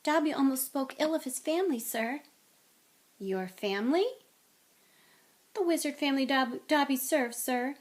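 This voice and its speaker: A woman voicing a timid, devoted male house elf who is high-pitched, earnest and servile, and who speaks of himself in the third person. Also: normal speed, long pauses, close to an everyday voice.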